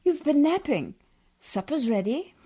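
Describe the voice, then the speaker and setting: A woman's voice: high voice, very animated, measured pace, warm tone, dry recording, studio quality. An actress in a radio play playing a prim, genteel but brave lady, proper and precise, with a fluttery romantic streak. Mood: tender, earnest.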